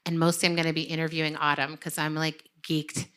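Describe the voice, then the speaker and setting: A woman's voice: deep voice, completely flat delivery, quick pace, fairly bright tone, clear, resonant tone. A woman co-hosting a live podcast taping on stage, interviewing her sister and acting out her own reactions in quoted voices. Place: live event stage before an audience.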